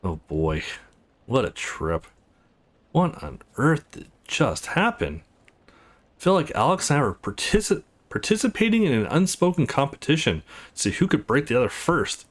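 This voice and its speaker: A man's voice: mid-range voice, very animated, normal speed. A man voicing a smitten, worn-out young man in a visual novel, musing frankly and playfully to himself. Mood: drained, amused. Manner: musing, playful inner monologue.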